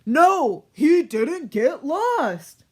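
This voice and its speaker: A woman's voice: sits high, very animated, darkish tone, pitched above the performer's own voice, full character voice. A woman playing an exasperated storyteller who corrects her wayward characters in a comic children's read-aloud. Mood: blunt. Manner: deliberate, exasperated.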